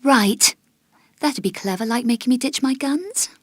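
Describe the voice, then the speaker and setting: A woman's voice: fairly high pitch, measured pace, no room sound. A woman voicing a tough, dry-witted young British adventurer in a video game, with understated, sardonic retorts. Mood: sardonic, annoyed. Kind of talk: video game cutscene voice acting.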